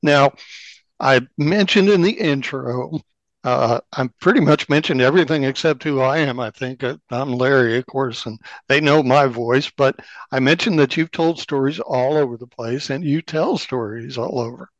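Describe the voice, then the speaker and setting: A man's voice: medium pitch, moderate pace, no echo, dull audio. Chatty male podcast host, dry sound. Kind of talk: casual interview chat.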